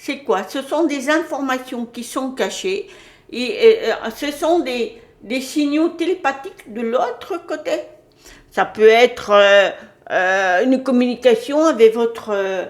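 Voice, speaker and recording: A woman's voice: fairly high pitch, roomy sound. A woman giving a casual spiritual talk to her viewers, hesitant, with many fillers and restarts. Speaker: spiritual channel host.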